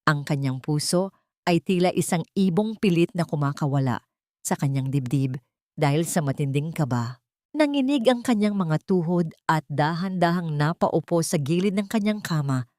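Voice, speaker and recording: Female storyteller, Filipino accent, lower register, solemn suspenseful audio drama narration, close dry sound. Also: animated, normal speed, dark tone, dry recording.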